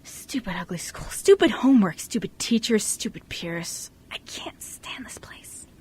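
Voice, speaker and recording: A woman's voice: medium pitch, lively intonation, unhurried, darkish tone, slightly rough, in a whisper. A woman playing a bratty, snobbish, easily exasperated wealthy teenage girl in an amateur radio play. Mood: sullen muttering.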